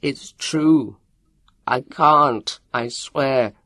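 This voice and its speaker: A man's voice: medium pitch, extremely slow, sharp tone. A man voicing a tall, brawny young student, a frightened captive who pleads and babbles in an audiobook. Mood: desperate pleading. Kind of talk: audiobook character voice.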